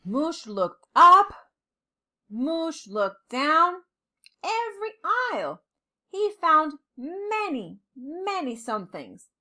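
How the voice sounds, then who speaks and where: Female storybook reader for young children; high, very animated, deliberate with dramatic pauses. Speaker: teacher reading a storybook to children. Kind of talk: expressive children's storybook read-aloud.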